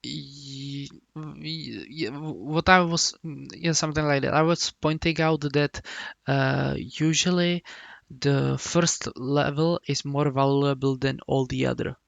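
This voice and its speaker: Gravelly-voiced man coaching. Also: medium pitch, steady tone, a bit bright, no room sound, lo-fi sound. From Czech Republic. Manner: halting, many restarts and hums while explaining. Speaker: game coach. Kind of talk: casual coaching talk.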